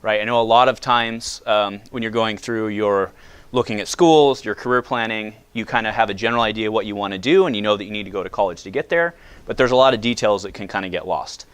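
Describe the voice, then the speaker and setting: A man in his thirties from the United States, giving a loud, casual talk to high school students and asking them questions. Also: fast talker, smooth. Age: 30s. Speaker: guest speaker.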